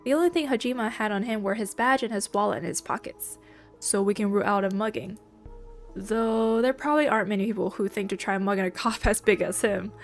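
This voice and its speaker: A woman's voice: mid-range voice, a little fast. Stuffy-nosed woman gamer, faint music. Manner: reads lines and then comments playfully. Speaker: let's play gamer. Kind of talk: reading game dialogue aloud with reactions.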